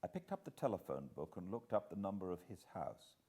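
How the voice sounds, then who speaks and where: A British man narrating a literary audiobook in a measured, expressive way with dramatic pauses. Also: sits low, husky. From Britain.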